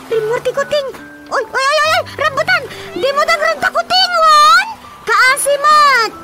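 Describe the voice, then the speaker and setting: Young daughter, radio comedy drama: tiny high voice, slow, exclamatory, tender-hearted. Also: female voice, over music. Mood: scolding, alarmed.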